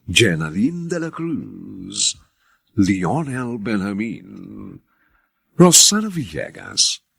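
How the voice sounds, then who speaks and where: A man announcing and narrating a radio drama in a deliberate, theatrical scripted style. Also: on the low side, creaky, a bit bright, husky, no echo.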